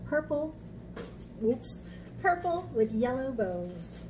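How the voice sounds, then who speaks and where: A woman with a fairly high, very animated voice speaks as a children's art teacher and storybook reader. She explains in simple steps and keeps checking in with small questions. There is clear noise behind her voice. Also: slow pace. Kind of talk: read-aloud and step-by-step craft lesson for young children.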